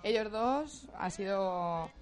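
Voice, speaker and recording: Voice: mid-range voice, lively intonation, slow. An amateur theatre actor speaking earnestly and gratefully in a radio interview. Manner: earnest, thankful. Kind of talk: casual radio interview chat.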